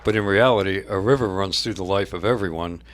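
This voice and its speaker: A man's voice: deep voice, brisk, no echo. A man announcing a radio theatre show with scripted narration.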